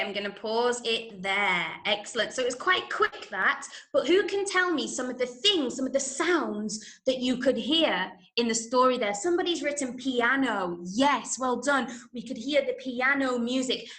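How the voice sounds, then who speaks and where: High-voiced English woman teaching, slow pace. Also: a bit bright. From Manchester, England. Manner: encouraging, emphatic, clearly explaining. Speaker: drama workshop teacher. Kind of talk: online lesson for children. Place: indoor room, online call. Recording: echoey room.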